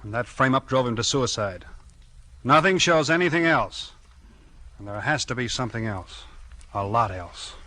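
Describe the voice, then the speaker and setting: An actor playing a blunt small-town American police chief in a 1940s radio mystery, with clipped, slangy, questioning talk. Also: male voice, mid-range voice, animated, moderate pace, pauses often, sharp tone. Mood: probing, firm. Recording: vintage 1940s radio recording.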